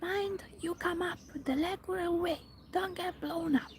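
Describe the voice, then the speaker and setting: A woman's voice: very high-pitched, fairly even intonation, a little slow, voice pushed up. A woman whispering the lines of a flustered, fussing old queen in an ASMR storybook reading. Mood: frantic.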